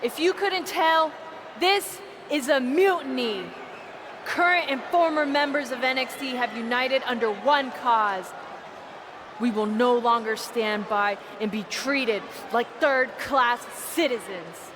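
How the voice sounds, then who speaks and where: A woman voicing a defiant wrestler leading a mutiny, declaring in slow, deliberate phrases. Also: a little high, background noise.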